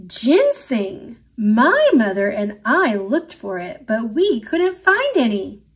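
A woman's voice: fairly high pitch, huge pitch swings, takes it slowly, slightly rough, exaggerated voice. A woman voicing a brave, polite little girl in a storybook, earnest and eager.

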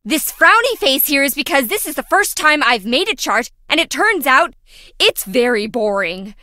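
A quirky young female engineer in a video game. Her voice is high, bright and very animated, with a chatty, wry, scatterbrained way of talking, closely and dryly recorded. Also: moderate pace, dry recording, clean studio sound, heavily acted. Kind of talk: video game character voice acting.

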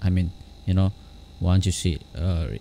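A man giving an unscripted software tutorial in a halting, repetitive way. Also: sits low, flat delivery, a little hiss or hum. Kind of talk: unscripted screen-recorded tutorial.

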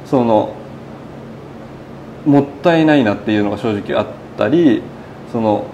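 A man's voice: mid-range voice, unhurried, dull audio, a little hiss or hum. A man in his thirties talking casually as an interview guest, telling his story in long run-on sentences. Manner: chatty, recounting in long run-on sentences with quoted speech. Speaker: burger shop owner being interviewed. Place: indoors in a small burger shop. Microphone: lapel mic.

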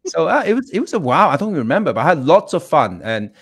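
Middle-aged man, guest on a video call, animated voice, joking, chuckles. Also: a little high, fast talker, slightly rough. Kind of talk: casual video call chat.

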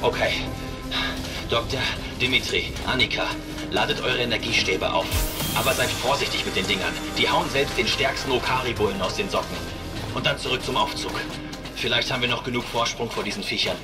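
Male actor as spaceship captain, medium pitch, brisk, commanding, level-headed, sci-fi audio drama. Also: close to an everyday voice. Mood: urgent command. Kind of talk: German sci-fi horror radio drama acting. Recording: loud music behind the voice.

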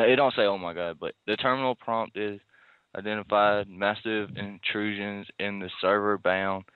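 A man's voice: lowish voice, smooth, no echo. A man talking in a slangy, rambling way as a prank caller on a casual phone call. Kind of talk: casual phone call, feigning a tech problem. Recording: muffled narrowband phone call.

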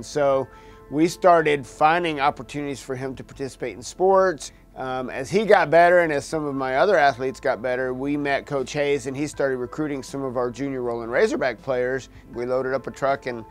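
A man in his sixties, a wheelchair basketball coach, telling the program's story steadily in a documentary interview. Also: mid-range voice. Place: gym office in front of a team banner.

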